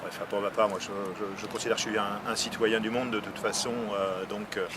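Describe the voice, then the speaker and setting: Older male local representative, lowish pitch, earnest halting interview delivery, noisy urban exterior. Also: little pitch movement. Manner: earnest, hesitant, with frequent word repetitions. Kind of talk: unscripted interview answers. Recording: noisy outdoor recording with traffic ambience.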